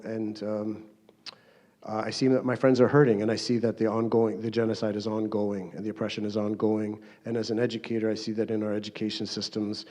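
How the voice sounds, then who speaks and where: A man in his fifties speaks on a panel, his low voice dark and mellow. His pitch barely moves, and he picks his way through his words with frequent fillers and restarts. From Canada. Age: 50s. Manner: halting, many fillers and restarts. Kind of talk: panel introduction.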